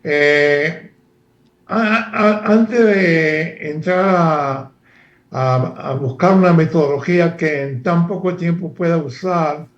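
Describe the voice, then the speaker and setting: An older man from Argentina, a former professor speaking as a guest analyst in a halting, lecture-like way with many fillers and restarts. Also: fairly high pitch, echoey room, highs cut off. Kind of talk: lecture-like interview talk.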